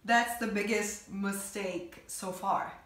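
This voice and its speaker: A woman's voice: mid-range voice, steady tone, slow, fairly bright tone. A woman in her twenties speaks English with an Italian accent. She talks casually to her viewers as a vlogger reacting to a video, her mid-range voice carrying the echo of a bedroom. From Italy. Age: 20s. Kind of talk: casual reaction commentary to camera. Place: small bedroom indoors, sitting on bed. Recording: roomy, echoey bedroom sound.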